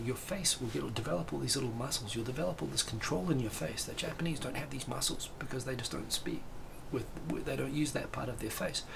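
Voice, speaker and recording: A man hosts a livestream from a room at home, talking in a loose, rambling way. His voice is gravelly and fry-heavy at a middling pitch. A steady hiss of noise sits under the home recording. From New Zealand. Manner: rambling, animated hand gestures. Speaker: livestream host. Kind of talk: casual chat.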